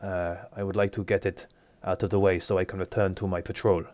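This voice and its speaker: A dutiful guard captain addresses his lord politely and briskly, as a man plays him. His low voice is recorded close and dry, with formal phrasing. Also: level tone, darkish tone, no echo, close to an everyday voice.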